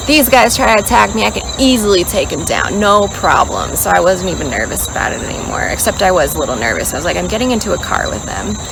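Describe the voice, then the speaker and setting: A woman's voice: medium pitch, fast, vocal fry, hardly any pauses, noisy background. Young woman telling a story outdoors with insects. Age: 20s. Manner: chatty, animated storytelling with acted-out quotes. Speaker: vlogger. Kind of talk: casual storytelling vlog. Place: outdoors in a rural area. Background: insects and crickets chirping.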